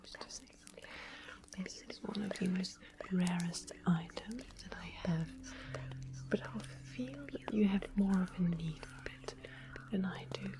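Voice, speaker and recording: A woman playing a sweet but sly royal witch in a fantasy ASMR roleplay, speaking in a soft, breathy, deliberate whisper. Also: medium pitch, close to an everyday voice. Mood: solemn, confiding. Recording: steady ambient noise and music behind. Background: soft music and ambience.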